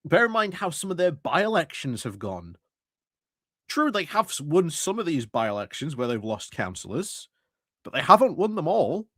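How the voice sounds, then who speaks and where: A man's voice: a little high, big pitch swings, moderate pace, fairly bright tone, dry recording, studio quality. A man giving casual live commentary over a council meeting, mocking and chuckling between remarks.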